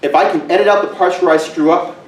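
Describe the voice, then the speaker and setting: A middle-aged male teacher giving a conference presentation in a loud, booming voice with joking asides, recorded from far off in a large room. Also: steady tone, fast talker, reverberant, slight background noise. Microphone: camera mic from far off.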